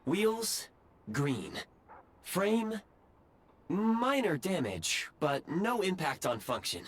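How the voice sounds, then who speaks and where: A man's voice: high voice, big pitch swings, very slow, a little hiss or hum, heavily acted. A man voicing a formal, dryly condescending train autopilot for a video game, precise and clipped in his wording. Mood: formal, matter-of-fact. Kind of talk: video game character acting.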